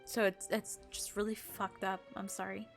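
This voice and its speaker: A woman livestreamer chatting casually with viewers, halting and emotional in a gushing way. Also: mid-range voice, little pitch movement, creaky, faint music behind. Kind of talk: casual live game stream chat, recapping to viewers.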